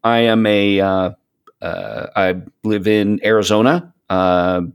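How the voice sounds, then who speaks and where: A man in his late fifties with a low-pitched voice talks as a guest in a casual interview. He feels his way through sentences haltingly, wandering into asides as he describes himself. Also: clear, resonant tone. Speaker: podcast guest, fellow podcaster.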